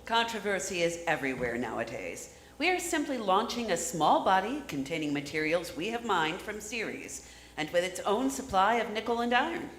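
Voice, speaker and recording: A woman's voice: medium pitch, very animated, normal speed, fairly bright tone. Woman acting a commanding executive, reverberant hall. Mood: composed, assured. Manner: formal, self-assured. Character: powerful, composed female space-industry chief executive. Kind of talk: live radio theatre acting. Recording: reverberant live hall, faint noise.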